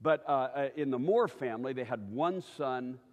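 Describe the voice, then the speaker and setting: Older male preacher, conversational teacher, mid pitch, recorded with long church-hall reverb. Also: lively intonation, moderate pace, highs cut off. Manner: chatty, keeps checking in with listeners.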